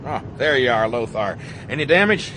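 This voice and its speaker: A man playing a cowboy-like American Southern Air Force major in a comic radio drama. His blustering, folksy drawl swings widely in pitch, over a clear aircraft rumble. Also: very animated, normal speed, close to an everyday voice. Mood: alarmed, commanding. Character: folksy, baffled cowboy bomber pilot major. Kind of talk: satirical radio comedy drama. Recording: loud aircraft rumble behind.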